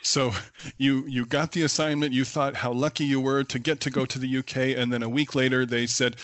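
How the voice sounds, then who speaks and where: A man's voice: mid-range voice, little pitch movement, a little fast, fairly bright tone, dry recording. A man hosting a podcast interview, speaking in a friendly, affirming way and summing up his guest's points. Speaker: podcast host.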